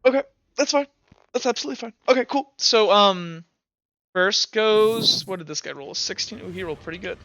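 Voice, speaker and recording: A man's voice: high voice, a little fast, bright, forceful tone. A young man running a tabletop game as game master, rambling with fillers and self-corrections.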